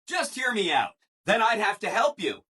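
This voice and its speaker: An actor playing a relentlessly cheerful, eager-to-please robot assistant in a video game, chirpy and over-eager, with exaggerated emphasis. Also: male voice, high-pitched, very animated, moderate pace, sharp tone, breathy edge, exaggerated voice. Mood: gleeful, eager. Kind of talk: animated video game character dialogue.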